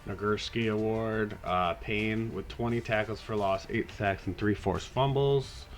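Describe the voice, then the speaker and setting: A man hosting a podcast, talking casually through a video game season in a raspy voice, hoarse from a cold, laid-back and rambling with the odd swear. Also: fairly low pitch, fairly even intonation, unhurried. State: hoarse, getting over a cold. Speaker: podcast host.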